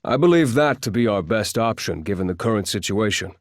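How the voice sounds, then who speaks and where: A man plays a measured strategist in a video game. His voice is on the low side and closely recorded without room sound. He is formal, deliberate and cautious. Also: animated, no room sound, clean studio sound, close to an everyday voice. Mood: calm, firm. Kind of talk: scripted video game acting.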